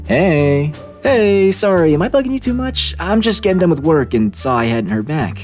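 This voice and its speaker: A man's voice: fairly high pitch, very animated, moderate pace, darkish tone, soft background music. A man voicing an eager, clingy, apologetic online chatter, over-friendly and wheedling, in a dramatized reading of chat messages. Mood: needy, anxious.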